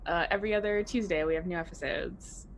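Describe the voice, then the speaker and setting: A woman's voice: on the low side, fast, slight background noise. A woman chatting casually as a guest player, plugging their writing and shows. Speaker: guest player and game writer. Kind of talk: casual chat.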